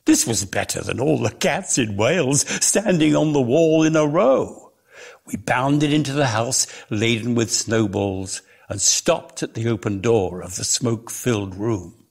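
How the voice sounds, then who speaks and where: A man giving a dramatic reading of a literary story in a measured, theatrical way, lingering on his words. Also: medium pitch, creaky, slightly rough. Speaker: reader.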